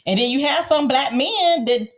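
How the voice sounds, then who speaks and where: A woman's voice: sits high, lively intonation, normal speed. An American woman co-hosting a casual panel podcast, telling things emphatically and repeating herself for effect. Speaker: podcast co-host.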